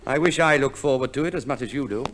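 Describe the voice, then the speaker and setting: An actor playing a droll, opportunistic gentleman husband in a genteel 1950s radio comedy play, on an old recording. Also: medium pitch, fast talker, a little hiss or hum, natural voice. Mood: wry, resigned.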